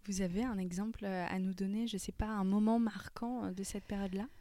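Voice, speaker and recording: A woman interviewer asking calm follow-up questions and giving short prompts. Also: medium pitch, fairly quick, no room sound.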